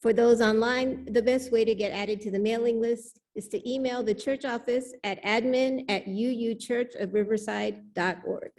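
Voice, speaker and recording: A woman with a fairly high voice leads a church service. She reads prepared words and announcements to the congregation from a microphone at the front. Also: steady tone, normal speed, hardly any pauses, darkish tone. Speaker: worship leader welcoming the congregation. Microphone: pulpit microphone in a church service.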